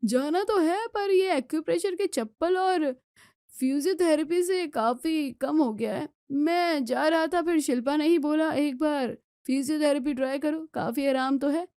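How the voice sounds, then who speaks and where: A woman storyteller voices an easygoing grown son in a family drama story. The delivery is mild and agreeable, pitched on the high side, with a dry studio-like closeness. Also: measured pace, a little mellow, no echo. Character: easygoing young adult son, mild-mannered husband.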